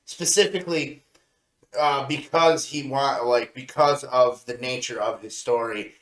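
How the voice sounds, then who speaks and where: A man co-hosting a review show in casual chat, with a halting, rambling way of telling things. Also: mid-range voice, fairly even intonation, fairly bright tone. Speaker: co-host of an anime review show. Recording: roomy, echoey sound.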